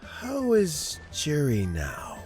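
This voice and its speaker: An actor playing a thoughtful, principled elderly statesman in a video game, speaking in a measured, reflective way. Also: on the high side, huge pitch swings, darkish tone, heavily acted. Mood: concerned. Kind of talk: scripted video game dialogue. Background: music.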